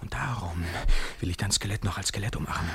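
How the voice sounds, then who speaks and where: An actor playing an anguished, obsessive lover in a poetic radio play, breathy and intense in theatrical declamation. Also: male voice, lowish voice, fast, fairly bright tone, slight background noise. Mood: tender, desolate. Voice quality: breathy, husky. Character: obsessive, anguished male lover, swinging from tender to desperate. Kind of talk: literary radio play monologue, poetic and impassioned.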